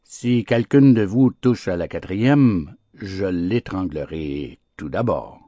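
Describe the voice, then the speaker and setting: A man's voice: low voice, expressive, slow, warm tone, no room sound, studio quality, heavily acted. A man voicing a proud, bullying lion lord in a fable, pompous and threatening in manner. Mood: imperious, threatening. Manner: imperious, pompous.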